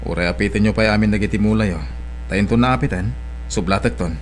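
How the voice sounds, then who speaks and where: A man's voice: on the low side, fast talker, a little hiss or hum, natural voice. A man with an Ilocano accent acting a brash, stubborn village farmer in a radio-style drama. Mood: subdued.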